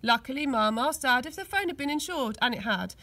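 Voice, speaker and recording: An English woman reading a children's storybook aloud with expressive narration, recorded outdoors. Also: high voice, fairly quick. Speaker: school teaching assistant reading aloud. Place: outdoors on grass.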